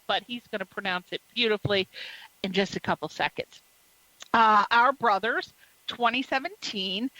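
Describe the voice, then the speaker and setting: A woman's voice: on the high side, sharp tone, dry recording, poor audio. A woman hosting a radio interview show, gushing with praise and chatting casually with her guest.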